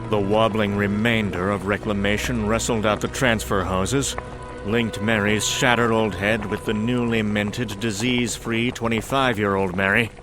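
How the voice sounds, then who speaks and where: A man with a fairly low voice reads a comic story as its narrator. His delivery is wry, deliberate and dramatic, with faint music underneath. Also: steady tone, low-quality recording.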